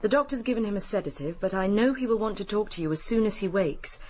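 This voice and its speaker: An actress playing a composed, genteel, guarded British widow in a radio drama. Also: female voice, mid-range voice, lively intonation, quick pace, darkish tone, husky. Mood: composed, helpful.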